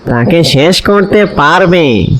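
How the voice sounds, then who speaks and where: A man voicing a grave tantric sorcerer in a cartoon, with ominous drawn-out hums and pauses. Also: on the high side, expressive, a bit bright. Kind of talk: cartoon voice acting.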